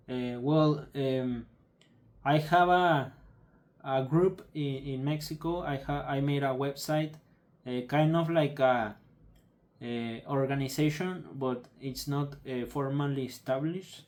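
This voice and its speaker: A young man whose first language is Spanish, speaking in a halting, subdued way with many restarts as he searches for words. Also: on the high side, darkish tone. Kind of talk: personal video update.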